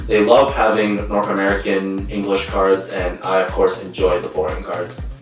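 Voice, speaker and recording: A man's voice: low voice, monotone, normal speed, soft background music. A Canadian man answering interview questions in a plain, earnest way with some fillers. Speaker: co-host and guest player. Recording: echoey room from far off.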